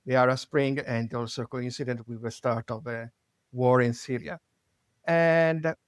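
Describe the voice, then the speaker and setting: A man from Italy with an Italian accent, hosting an interview in a halting way, searching for words with many fillers and restarts. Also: mid-range voice, dry recording, clean studio sound. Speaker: podcast host.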